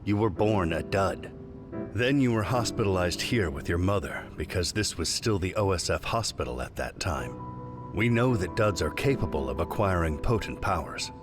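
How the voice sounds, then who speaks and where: Low-voiced, condescending research scientist. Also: male voice, animated, normal speed, over music. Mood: matter-of-fact. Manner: clinical, matter-of-fact, condescending. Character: detached, condescending middle-aged male research scientist at a hospital. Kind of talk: scripted video game cutscene acting.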